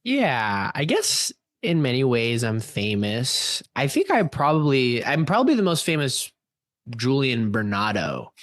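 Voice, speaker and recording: A man co-hosting a podcast, chatting in a halting, tongue-in-cheek, deadpan self-important way. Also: medium pitch, no room sound, studio quality. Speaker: podcast co-host and playwright. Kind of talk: tongue-in-cheek casual chat.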